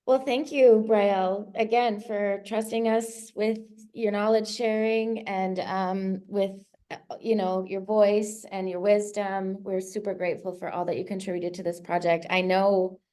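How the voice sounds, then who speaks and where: Host, woman of middle pitch; casual, grateful, lots of fillers. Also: level tone, a little slow, mellow. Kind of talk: casual hosting of a launch event.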